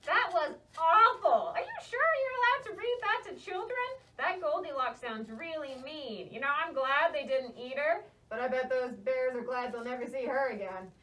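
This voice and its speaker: A woman's voice: high voice, animated, moderate pace, few pauses, bright, forceful tone, recorded from a distance, low-quality recording. A woman voicing an anxious, indignant little mouse in a children's puppet show, fretful and full of exclamations.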